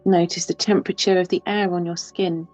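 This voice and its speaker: A woman leading a guided yoga nidra relaxation, speaking softly and unhurriedly with long pauses. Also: lowish voice, flat delivery. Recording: slightly dull, with soft music behind.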